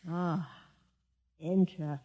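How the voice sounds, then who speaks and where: A woman's voice: lowish voice, steady tone, drawn-out words, very noisy. An actress playing a wary, devout female gatekeeper who questions strangers with suspicion in an old-time radio drama. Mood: grudging. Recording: dull old radio recording, long reverb.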